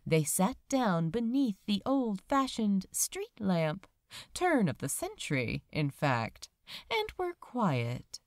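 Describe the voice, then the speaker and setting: A woman reading fan fiction aloud as a narrator, with measured, expressive storytelling delivery. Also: medium pitch, no echo, clean studio sound. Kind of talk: scripted audiobook-style narration.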